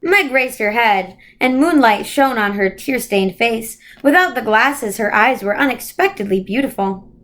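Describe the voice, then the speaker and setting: A woman reading a children's novel aloud in an expressive storytelling way. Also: a little high, unhurried.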